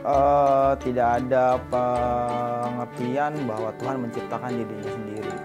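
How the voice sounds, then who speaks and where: A man's voice: mid-range voice, level tone, measured pace, loud music behind the voice. A man in his thirties giving short interview answers. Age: 30s.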